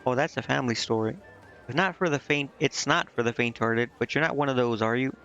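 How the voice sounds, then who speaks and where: Man streaming casually, gravelly fry in his voice. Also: medium pitch, brisk, fairly bright tone. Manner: informal, reacting and thinking aloud. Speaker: live game streamer. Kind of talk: casual game commentary, reading dialogue aloud. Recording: dull, band-limited sound with music. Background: game music.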